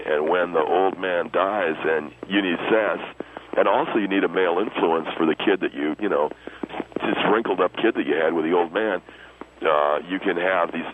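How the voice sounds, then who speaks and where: Man putting on a deep, smug American loverboy on a noisy phone. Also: lightly acted. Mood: smug, earnest. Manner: pompous, slangy, insistent. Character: vain, smug younger man, self-styled relationship expert guest. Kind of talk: comic radio interview character. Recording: muffled phone line with loud noise.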